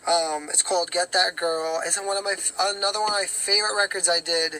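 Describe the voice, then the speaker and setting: Young male singer, raspy and hoarse, bright high voice, loose, filler-heavy livestream chat. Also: steady tone, brisk, a little hiss or hum, rough recording. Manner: rambling, with many fillers and self-corrections.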